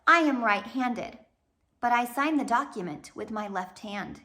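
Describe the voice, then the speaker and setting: Woman voicing an earnest, bright-voiced orphan girl. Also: a little high, lively intonation, a little slow, exaggerated voice. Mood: composed. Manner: polite, earnest. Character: earnest, polite teenage girl, eldest orphan. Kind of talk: storybook character voice.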